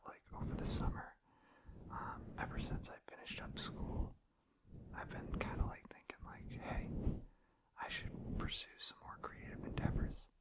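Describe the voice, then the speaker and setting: A young man whispering a gentle, meandering ASMR ramble close to the microphone, hesitant and unscripted. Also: rough recording. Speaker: ASMR host.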